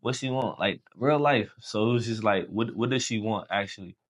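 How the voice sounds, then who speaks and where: A young man from Haiti, a Creole speaker, telling his story as a podcast guest in relaxed slangy chat. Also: fairly low pitch, moderate pace. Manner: relaxed storytelling, slangy, says bro often. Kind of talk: casual chat.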